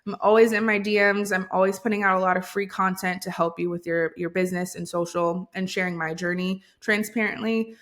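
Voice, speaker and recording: An upbeat woman from Hawaii chats as a podcast guest with a mid-range voice. She talks quickly and casually, with easy fillers. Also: medium pitch, steady tone, fairly bright tone. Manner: upbeat, fast-talking with fillers. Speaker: podcast guest, business coach. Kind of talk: casual interview chat.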